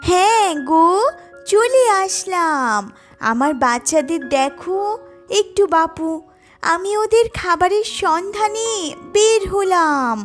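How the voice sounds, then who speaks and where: A woman's voice: extremely high pitch, expressive, slow pace, soft background music, full character voice. A woman voicing small tailorbirds, a mother and her chicks, in a children's cartoon. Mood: caring.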